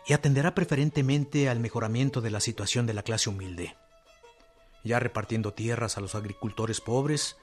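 A man's voice: medium pitch, natural voice. Solemn actor as courteous male official, formal delivery. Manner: formal, earnest, measured. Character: courteous, solemn official reading his formal letter aloud, adult man. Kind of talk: radio drama letter reading. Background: music.

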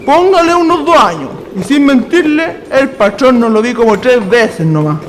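Man playing a high-voiced Chilean farm labourer, reverberant. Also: sing-song, normal speed. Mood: chatty. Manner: colloquial, rustic. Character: chatty, folksy rural farm labourer, male. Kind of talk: radio drama acting. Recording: reverberant, slightly noisy radio drama.

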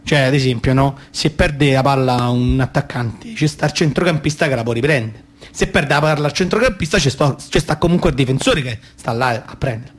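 An actor playing a boastful, aggrieved goalkeeper in a theatre monologue, with a Roman accent and a rambling, halting, self-justifying manner. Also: male voice, mid-range voice, natural voice. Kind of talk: colloquial comic theatre monologue.

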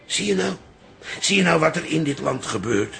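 A man's voice: on the high side, expressive, normal speed, creaky, a bit bright. An older man acting in a Dutch radio comedy as a stubborn, paranoid, money-hungry father with a blustering manner. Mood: alarmed, grumbling.

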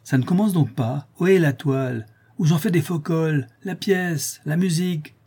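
A man's voice: mid-range voice, animated, moderate pace, dark tone, husky, voice pushed up. A man voicing a mocking, gleeful young street boy who taunts and jeers like a theatre heckler in a storybook reading. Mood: impatient jeering.

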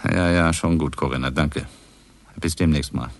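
Very deep, hesitant inspector. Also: male voice, steady tone, normal speed, slight background noise. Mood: hesitant, earnest. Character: hesitant, self-doubting male police inspector seeking a favour. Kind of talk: radio crime drama acting.

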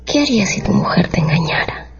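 An actress playing a cynical young courtesan in a radio drama, speaking with cool, taunting disdain. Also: female voice, mid-range voice, sing-song, fast, vocal fry, whispered. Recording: dull radio production with music underneath.

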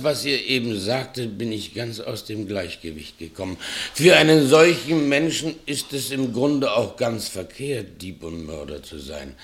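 An actor playing a fearful, conscience-ridden servant and reluctant villain, plaintive and deferential, in a 1960s radio play. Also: male voice, deep voice, a bit bright, full character voice. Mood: fearful, apologetic. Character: timid, conscience-ridden male servant, reluctant thief and killer.

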